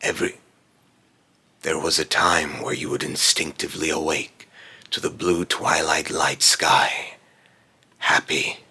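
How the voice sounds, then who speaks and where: A man plays a brooding spirit from beyond, solemn and otherworldly. The voice is extremely low, with a hard, forceful edge. Each phrase comes slowly and deliberately, rasping as it goes. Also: little pitch movement, heavily acted. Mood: wistful, brooding. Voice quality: raspy. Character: Phobos, brooding otherworldly male spirit of fear and death. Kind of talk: voice line recording with retakes.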